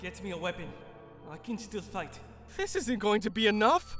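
A man's voice: high voice, sing-song, normal speed, fairly bright tone, faint music behind. A man playing an anxious, rattled wounded soldier in a sci-fi war audio drama. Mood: desperate.

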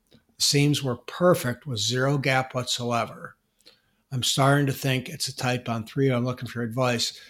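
A man co-hosting a podcast, answering a listener question in a relaxed, explanatory way with frequent restarts. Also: mid-range voice. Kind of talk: casual podcast chat.

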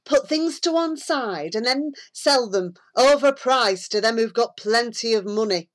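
A woman's voice: a little high, lively intonation, unhurried, pitched above the performer's own voice. A woman reading a story aloud, voicing a grumbling, gossipy village housewife. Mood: gossiping.

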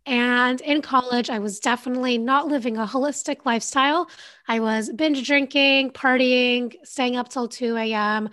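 Young woman in her 20s, podcast guest, talkative, fairly high voice. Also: fairly bright tone. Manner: chatty storytelling, lots of "like", quick bursts. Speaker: podcast guest, health coach. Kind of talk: casual interview chat.

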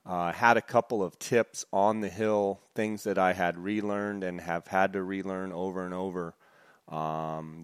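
A low, smooth male voice hosting his podcast in a relaxed, chatty way. He talks directly to his listeners as if among friends. His pitch stays level and flat, and the sound is dry and close. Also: no echo. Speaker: podcast host. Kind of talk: casual podcast intro.

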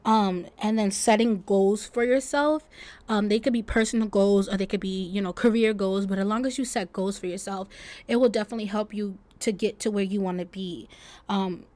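A woman's voice: mid-range voice, fairly even intonation, normal speed, darkish tone. A twenty-year-old woman hosting a solo podcast, talking casually and reflectively in an informal, rambling way. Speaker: podcast host.

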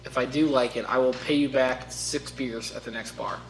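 A man's voice: medium pitch, steady tone, normal speed, faint music behind, rough recording. A young man in casual chat with friends, blunt and combative, swearing a lot.

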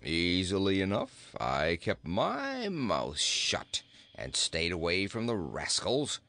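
A man voicing a skinny, wise old talking goat with a calm, dry, superior manner. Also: deep voice, slow pace, no room sound, pitched below the performer's own voice, exaggerated voice. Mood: calm, smug.

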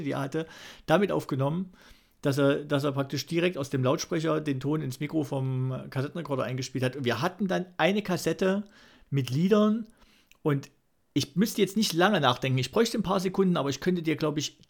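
Man, forties, from East Germany, slightly high pitch, creaky gravelly voice, wry colloquial podcast anecdotes. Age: 40s. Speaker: podcast co-host. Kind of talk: casual chat, anecdotes.

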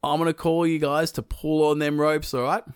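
A man's voice: on the high side, level tone, normal speed, clear, resonant tone, no room sound, voice pushed up, natural voice. Man putting on a male demigod leader, commanding, raised voice, dry close sound. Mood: firm. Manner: commanding, urgent yelling. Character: bold, determined male demigod hero, leader of his brothers.

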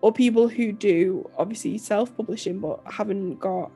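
A young woman co-hosting a podcast, croaky and nasal from illness, rambling casually with lots of fillers and restarts. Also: medium pitch, normal speed, warm tone, faint music behind. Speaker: podcast co-host and author. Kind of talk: casual chat.

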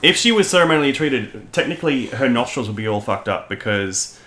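Man, podcast co-host, emphatic casual chat, crisp bright tone. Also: mid-range voice, animated, brisk. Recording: echoey room.

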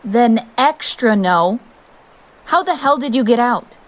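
A woman's voice: on the high side, animated, takes it slowly. An actress playing a resolute young female exile in an audio drama, earnest and emotional, tender but firm. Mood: wary, firm.

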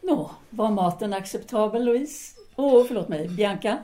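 A woman's voice: medium pitch, lively intonation, dark tone, close to an everyday voice. A woman playing a composed, probing intellectual and dutiful lover in an audio drama, speaking with formal restraint. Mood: composed, attentive.

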